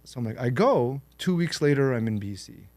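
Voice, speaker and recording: A young man born in Lebanon and raised in Canada, telling his life story in a relaxed, reflective way as a podcast guest. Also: mid-range voice, brisk, dry recording. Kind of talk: interview storytelling.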